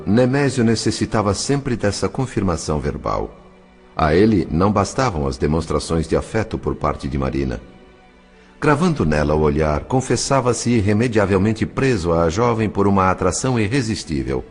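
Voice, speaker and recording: A man narrating a dramatised audiobook in a measured, literary, expressive way. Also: deep voice, slightly rough, faint music behind.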